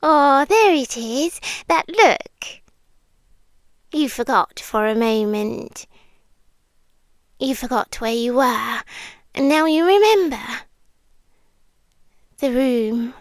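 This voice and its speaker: A possessive British yandere girlfriend, acted by a woman, speaks in a high, hushed voice for an ASMR roleplay. She draws her words out very slowly and often slips into whispers, sweetly menacing. The sound is close and dry. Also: expressive, no echo. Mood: tender menace. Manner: slow, deliberate, hushed, often slipping into whispers. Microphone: close microphone, dry.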